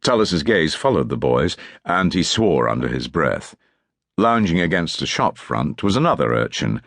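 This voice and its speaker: A man's voice: very deep voice, fairly bright tone, no echo, studio quality. A man reading historical fiction as a scripted audiobook narration.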